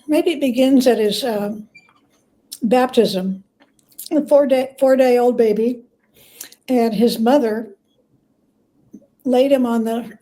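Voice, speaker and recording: A woman speaking as an expert guest in an interview, telling stories in a plain-spoken, thoughtful, measured way. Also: fairly high pitch, dark tone, low-quality recording. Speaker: guest, church historian and biographer. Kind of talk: conversational interview, storytelling.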